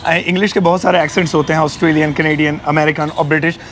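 A young man hosts an outdoor interview, talking quickly and chattily in a somewhat high voice. He wears a clip-on mic in a garden courtyard, with faint ambience behind him. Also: level tone, a bit bright, slight background noise. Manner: brisk, chatty, addresses viewers directly. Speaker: host, English teacher. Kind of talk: casual interview questions. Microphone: clip-on lapel mic.

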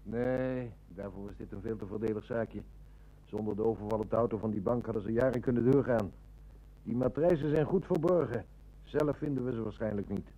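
An actor playing a shrewd, determined police inspector in a radio drama, matter-of-fact and commanding. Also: male voice, fairly low pitch, fairly quick, warm tone, highs cut off, lightly acted. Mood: brooding. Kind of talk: Dutch radio drama acting. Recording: noisy old radio recording with hum.

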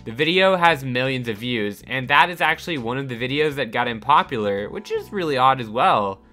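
A man reading a scripted countdown voice-over with upbeat delivery over soft background music. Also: medium pitch, animated, brisk. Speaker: narrator of a countdown channel.

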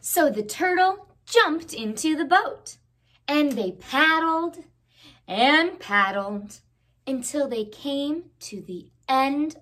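A woman in her thirties reading a picture book aloud for children, expressively drawing out words. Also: high voice. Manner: expressive, deliberate, drawn-out words. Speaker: children's story time host.

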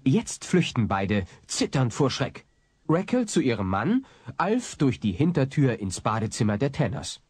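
A man's voice: medium pitch, big pitch swings, measured pace, a little mellow, breathy edge, no room sound. A man narrating an audio drama in a dramatic scripted style.

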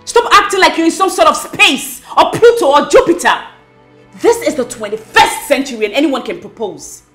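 Indignant young girlfriend, high animated voice, defiant screen-drama delivery. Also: moderate pace, faint music behind. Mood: indignant, demanding. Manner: demanding, defiant.

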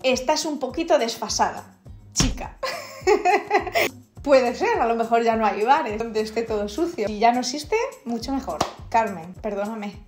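A woman's voice: on the high side, unhurried, echoey room, music in the background. A young woman from Spain with a Castilla-La Mancha accent that drops her s sounds, chatting casually to camera as a vlogger and laughing often. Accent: Castilla-La Mancha Spanish, drops s sounds. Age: young adult. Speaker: YouTuber, Spanish teacher. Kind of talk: casual vlog reaction, reading comments aloud. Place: indoor room, wall with photos behind. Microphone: camera at close range.

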